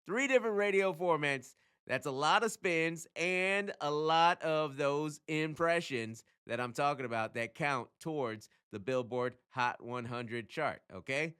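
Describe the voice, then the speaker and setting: A man hosting his own channel, talking casually and emphatically as he explains things, often throwing in rhetorical questions and quick check-ins. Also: on the high side, a bit bright, clean tone. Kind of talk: casual explainer talk to camera.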